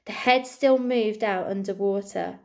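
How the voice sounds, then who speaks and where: A woman reading a storybook to children expressively, like a primary school teacher, with deliberate dramatic pauses and emphasis. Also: mid-range voice, darkish tone.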